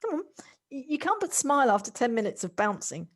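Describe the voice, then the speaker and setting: Casting: actress as exuberant, affectionate female friend for audio play; higher-pitched, eager, coaxing, repeats herself. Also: big pitch swings, fairly quick. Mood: giddy, coaxing. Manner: effusive, eager, stammering with repeated words. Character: exuberant, coaxing, affectionate woman, proud of her job. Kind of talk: amateur audio drama acting. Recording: home recording on a personal device.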